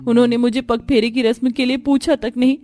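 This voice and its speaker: Woman voicing a sweet young daughter-in-law, higher pitched. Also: flat delivery, brisk, mellow, close to an everyday voice. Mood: tearful, resolute. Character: gentle, emotional young daughter-in-law from a palace.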